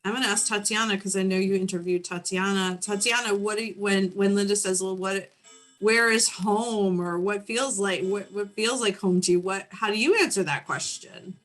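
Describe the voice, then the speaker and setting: A woman's voice: mid-range voice, moderate pace, a bit bright, soft background music. A woman moderating a panel discussion in an informal, chatty way. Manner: informal, conversational, frequent "you know" and "right".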